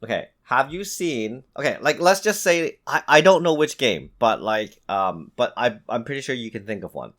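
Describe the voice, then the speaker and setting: Animated, insistent man in casual debate. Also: medium pitch, moderate pace, few pauses. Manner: insistent, emphatic, repeats himself, swears casually. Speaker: podcast co-host. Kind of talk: casual argumentative podcast chat.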